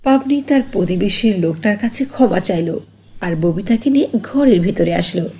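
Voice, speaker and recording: A woman narrating a scripted cartoon fairy tale in an animated, expressive way. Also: medium pitch, moderate pace, slightly rough.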